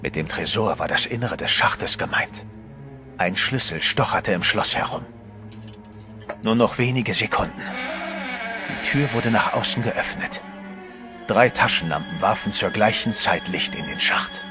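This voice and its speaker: A man narrating in first person in a German horror radio play, tense and measured. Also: lowish voice, music in the background, lightly acted.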